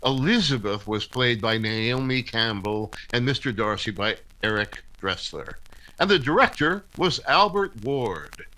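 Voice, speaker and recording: A man's voice: medium pitch, expressive, measured pace, close to an everyday voice. A man reading scripted announcements and narration in the style of a 1940s radio announcer. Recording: music under the voice.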